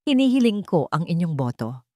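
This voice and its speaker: A woman's voice: on the low side, big pitch swings, fairly quick, warm tone, no echo, studio quality. A woman voicing a scheming, falsely solemn male corporate rival in a dramatic audio story. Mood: pompous, smug.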